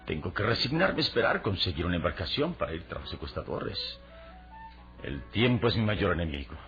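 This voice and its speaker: Deep grave noble hero in an old style radio serial, music under him, kinda muffled recording. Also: male voice, very animated, a little fast, fairly bright tone, exaggerated voice. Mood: anguished, brooding. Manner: grave, formal, dramatic phrasing. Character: brooding, noble, muscular male adventurer hero with telepathy. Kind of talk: Latin American radio serial acting.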